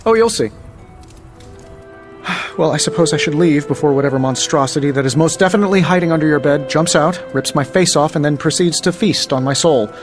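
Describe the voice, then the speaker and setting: An actor playing a flippant superhero inventor in a video game cutscene, sarcastic and chatty with nervous bravado and hesitant asides. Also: male voice, a little high, fairly quick, natural voice. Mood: joking. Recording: loud music underneath. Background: music.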